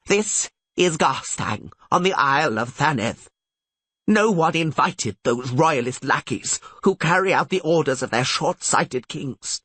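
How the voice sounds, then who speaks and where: A man's voice: on the high side, lively intonation, a little slow, sharp tone, no room sound, pitched above the performer's own voice, full character voice. A man voicing an imperious older female archmage in an audiobook, speaking in formal, proclamatory tones. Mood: commanding. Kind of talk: audiobook character voice.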